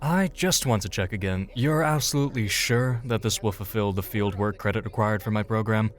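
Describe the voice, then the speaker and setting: A man's voice: fairly low pitch, a little fast, soft background music, close to an everyday voice. A man playing an anxious, fussy young student, polite and worried, in a comedy audio drama.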